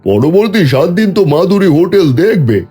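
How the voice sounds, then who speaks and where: A man's voice: high-pitched, big pitch swings, a little fast, dark tone. A man playing a scheming neighbour in a cartoon dub, coaxing and conspiratorial as he gives crooked advice. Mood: sly, reassuring. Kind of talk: Bengali cartoon dubbing. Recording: music behind the voice.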